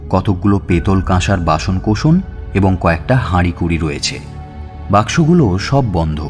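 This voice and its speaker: Guy acting as police detective telling a suspense audio story, lowish voice, measured, faint music behind. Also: a little mellow, close to an everyday voice. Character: male police detective recounting an investigation. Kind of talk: dramatized scripted first-person narration. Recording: music bed under the voice. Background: background music.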